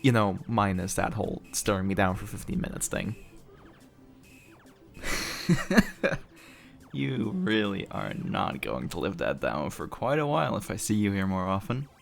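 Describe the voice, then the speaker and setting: Man acting a low, gravelly, flirty arcade nerd. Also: sing-song, a little slow, pauses often, heavily acted. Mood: amused, easygoing. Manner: casual, teasing, chuckles often, slightly bashful. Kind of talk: intimate audio roleplay, one-sided casual dialogue. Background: music.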